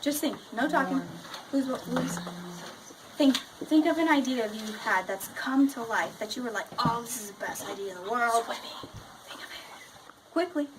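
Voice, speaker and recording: A woman's voice: a little high, unhurried. A woman teacher leading an interactive read-aloud lesson, questioning and prompting her pupils in a school classroom. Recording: noisy classroom, clear background noise.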